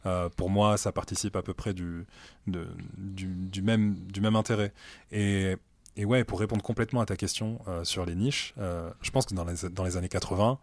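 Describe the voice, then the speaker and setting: A man in his late thirties, a music director being interviewed, talks in a relaxed, thoughtful way with many hesitations in an office meeting room. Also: deep voice, normal speed, no room sound. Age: late 30s. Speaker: music director at a streaming platform, guest. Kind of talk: casual conversational interview.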